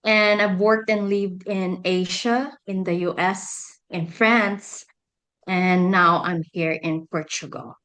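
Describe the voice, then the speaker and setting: A woman's voice: lowish voice, fairly even intonation, slow, a bit bright. Middle-aged Filipino woman telling her story. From Philippines. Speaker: podcast guest, energy healer and life coach. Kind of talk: conversational personal storytelling.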